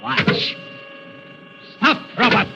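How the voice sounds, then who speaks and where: An actor playing a gloating, theatrical mad inventor villain in a vintage radio drama, sneering and cackling. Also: male voice, extremely slow, highs cut off. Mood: menacing. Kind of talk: 1940s radio acting. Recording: scratchy old radio broadcast.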